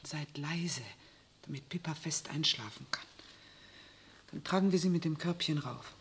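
A woman's voice: sits low, a little slow, slight background noise. An actress playing a hopeful but anxious young wife and mother in a 1960s radio drama. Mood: hushed, sad.

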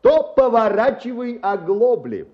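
An actor playing a pompous male dinner guest who lectures on women in a declamatory, drawn-out style. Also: very high voice, big pitch swings, lo-fi sound, exaggerated voice. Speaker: dinner-party orator. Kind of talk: radio play acting.

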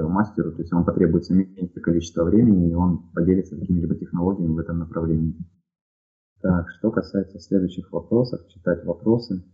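Guy from ukraine, really low voice, sounds like it's coming through a phone line, answering listener questions slow and careful. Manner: measured, pausing with fillers. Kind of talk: live radio call-in answers. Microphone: headphones with headset audio. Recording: phone-like narrow audio.

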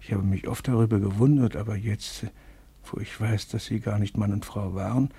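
A haunted, weary camp survivor, speaks in halting, hesitant bursts. His voice is dark and mellow, and very husky and breathy. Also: on the low side, steady tone, no room sound, exaggerated voice. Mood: recounting. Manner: halting, hesitant, with many pauses. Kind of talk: radio play acting.

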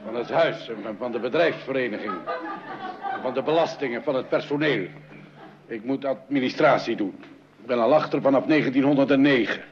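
A man's voice: medium pitch, fairly quick, close to an everyday voice. An actor playing a grumpy, blustering middle-aged father in a Dutch radio play. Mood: flustered. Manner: blustering, exclamatory. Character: grumpy, theatrical father of the household, easily exasperated. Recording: muffled, noisy old radio recording.

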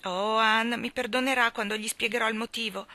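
Woman voicing a young bride, sobbing, pleading, high and bright voice, dry sound. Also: fairly even intonation, brisk, dry recording. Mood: tearful pleading. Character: young woman, tearful, anxious, self-pitying bride.

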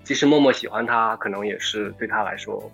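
A man's voice: on the low side, brisk, creaky, a bit bright, husky. A man answering questions as a voice actor in a casual online voice chat, hesitant and thinking aloud, with music behind him. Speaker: voice actor being interviewed. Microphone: online voice call.

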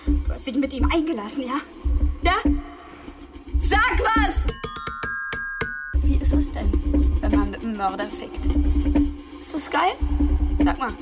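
An actress playing a jealous, wounded drinker in a German radio drama, needling a partner with bitter sarcasm. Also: female voice, high voice, big pitch swings, measured pace, pauses often, loud music behind the voice. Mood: jealous, furious.

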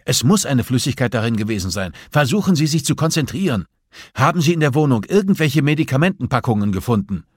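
A man voicing a forceful hospital doctor in an audiobook, urgent and impatient, with a clipped, interrogating manner. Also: medium pitch, big pitch swings, fast, slightly rough, no room sound, clean studio sound, voice pushed up. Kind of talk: audiobook dialogue acting.

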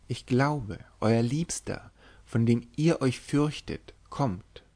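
A man's voice: mid-range voice, takes it slowly, darkish tone. Man voicing a bold, secretive young witch-maiden. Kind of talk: dramatic literary audiobook reading.

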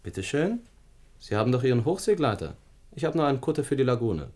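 A man's voice: mid-range voice, very animated, fast talker, a little mellow. An actor playing a curt, secretive male scientist in a German science fiction radio drama. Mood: sardonic, guarded. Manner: curt, commanding, dismissive. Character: brusque, secretive male scientist guarding a lonely atoll.

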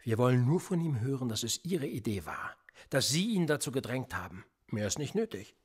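An actor playing a calm, manipulative male police interrogator in a radio play, pressing with pointed questions. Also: mid-range voice, brisk, breathy edge. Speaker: police officer.

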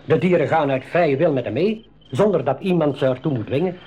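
An actor playing a confident, shrewd game reserve ranger with deliberate, formal diction in a 1980s school radio play. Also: male voice, on the high side, dark tone, slightly rough. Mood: assured, explaining. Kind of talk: 1980s Dutch-language school radio drama acting. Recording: noisy, dull 1980s radio recording.

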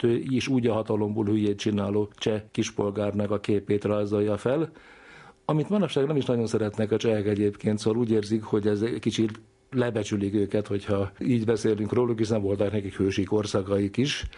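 A man's voice: lowish voice, mellow. A man giving reflective, scholarly explanations in an interview, speaking discursively as an expert.

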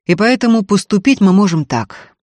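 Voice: mid-range voice, expressive, normal speed, a little mellow, no room sound, pitched above the performer's own voice, natural voice. A narrator playing a grave, guilt-ridden but firm landowner in a dramatic audiobook. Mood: heavy, resolute. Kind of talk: dramatic audiobook character reading.

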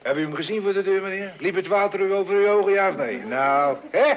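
A man's voice: sits high, expressive, quick pace. An actor playing a slick, pushy, boastful used-car salesman with glib slangy patter in a radio comedy. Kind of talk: mid-century Dutch radio comedy acting. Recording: dull old radio recording with noise.

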